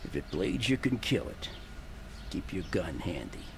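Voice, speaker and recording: An actor playing a gruff, wary bartender of a seedy waterfront bar in a video game, with a gravelly voice. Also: male voice, low-pitched, big pitch swings, measured pace, noisy background, full character voice. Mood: gruff, grim. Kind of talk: video game dialogue acting.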